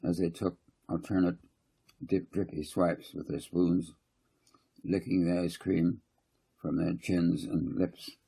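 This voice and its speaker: A man narrates a children's story in a low, mellow voice. It is gravelly and husky, and he reads in a slightly halting storytelling style. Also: level tone, poor audio. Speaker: author narrating own children's novel.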